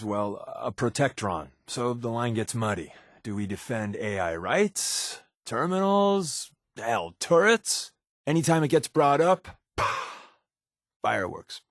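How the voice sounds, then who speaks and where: An actor playing a wisecracking undercover rebel spy in a video game, talking in a wry, sardonic, slangy way. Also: male voice, medium pitch, big pitch swings, unhurried, dry recording, studio quality. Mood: sardonic, weary. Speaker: companion character in a post-apocalyptic video game. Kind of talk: conversational video game voice acting.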